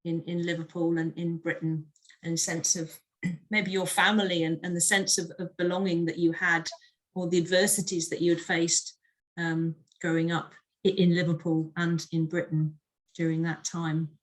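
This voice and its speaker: A woman's voice: on the low side, flat delivery, moderate pace, poor audio. A woman hosting a webinar interview, asking long, meandering questions full of hesitations. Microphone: video call.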